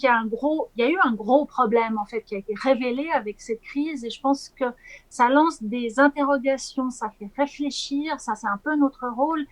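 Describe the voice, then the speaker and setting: A woman journalist answers interview questions in a high, clear voice. She speaks earnestly and fluently, building her points with care. The recording is dry and close, with no room echo. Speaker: journalist and documentary director.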